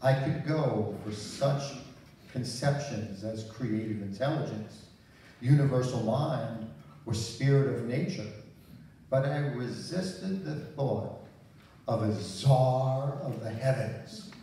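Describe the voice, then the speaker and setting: An older man with a mellow voice reads aloud slowly and carefully at a church service. The sound is very echoey and a little distant. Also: medium pitch. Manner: measured, careful reading. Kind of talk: reading aloud from printed text. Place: small church sanctuary. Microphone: handheld microphone, filmed from audience. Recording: echoey hall, distant mic, faint noise.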